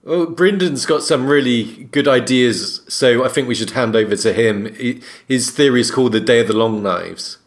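A man with an average-pitched voice co-hosts a casual discussion. He sounds appreciative of others' ideas and hands the conversation over in an encouraging way. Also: normal speed, hardly any pauses. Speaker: podcast co-host.

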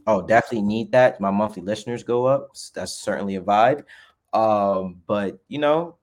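A man's voice: lowish voice, moderate pace, darkish tone, soft background music. A man in his twenties with a New York accent, a wrestler guest chatting in slangy, hyped street talk. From the Bronx, New York. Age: 20s. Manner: slangy and hyped, calls everyone bro. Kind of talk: casual chat.

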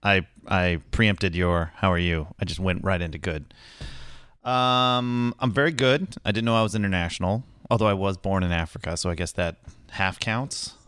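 A man's voice: sits low, steady tone, normal speed, a bit bright, clean tone, no room sound. An American man you'd hear as the guest on a podcast, spinning wry, stop-and-start anecdotes in a clean voice, close and dry on the mic. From Northern California, United States. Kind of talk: casual anecdotal storytelling.

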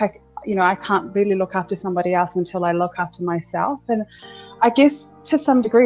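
A woman's voice: mid-range voice, darkish tone. Woman, thirties, from New Zealand, podcast guest, candid, chatty, dull lo-fi sound. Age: 30s. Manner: chatty, candid, laughs often, repeats words. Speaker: guest, business owner. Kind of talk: casual interview chat.

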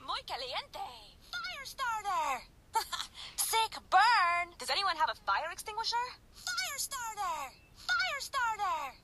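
Fiery female game brawler, piercingly high and slow, taunting, playful, phone playback. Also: sing-song, pushed and bright, full character voice. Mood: gleeful taunting. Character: cocky fiery female video game fire fighter. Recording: game clips played from phone speaker.

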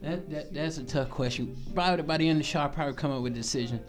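Young dj guy from texas and mississippi, polite and kinda dry, pitch a bit high, music behind. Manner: polite and measured, wry understatement. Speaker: guest, DJ. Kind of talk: casual chat.

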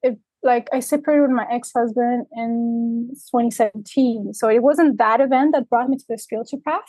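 Reflective woman coach, higher voice. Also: fairly even intonation, moderate pace, mellow, no echo, soft background music, rough recording. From Dominican Republic, raised in Canada. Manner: reflective, searching, with many fillers. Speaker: guest, life coach. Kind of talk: interview conversation. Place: remote, traveling in Mexico.

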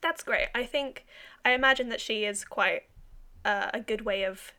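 Young British woman, slightly high voice. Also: moderate pace, sharp tone. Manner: thoughtful, searching for words. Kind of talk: casual chat.

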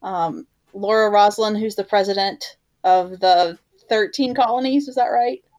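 A woman's voice: mid-range voice, measured pace, darkish tone. A woman co-hosting a podcast, talking in a casual, conversational style. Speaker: podcast co-host.

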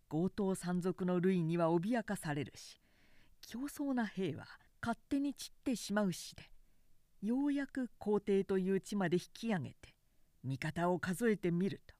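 Expressive, unhurried woman narrator. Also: medium pitch, no echo, clean studio sound. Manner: measured, enunciated storytelling. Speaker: professional narrator. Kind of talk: dramatic literary audiobook reading.

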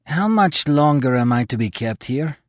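A man's voice: mid-range voice, expressive, normal speed, no echo, studio quality, voice pushed up, natural voice. A man voicing an angry, suspicious young Spanish recruit who demands answers passionately. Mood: agitated. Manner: passionate, shouting and pleading.

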